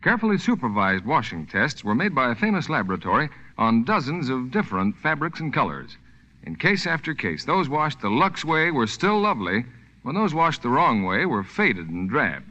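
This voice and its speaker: A man with an American accent delivers scripted 1940s radio commercials. His medium-pitched voice is bright and forceful with lively swings. The lo-fi recording carries a long reverb. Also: normal speed. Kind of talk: 1940s scripted advertising announcement.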